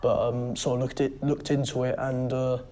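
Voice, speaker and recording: A male recruit in his late teens with a gravelly voice, talking hesitantly in an interview. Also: medium pitch, level tone, moderate pace, over a noisy crowd. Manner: hesitant, casual. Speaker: army recruit. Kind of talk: documentary interview. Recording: noisy with clicks behind.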